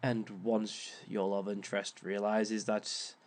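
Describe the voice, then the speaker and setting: Man playing a slow-talking, smug villain lecturer. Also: on the low side, little pitch movement. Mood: smug, instructive. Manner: theatrical, measured, drawn-out phrasing with sly chuckles. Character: suave, smug reformed male villain lecturing as a relationship expert. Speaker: speaker leading a seminar session. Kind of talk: scripted in-character audio roleplay lecture.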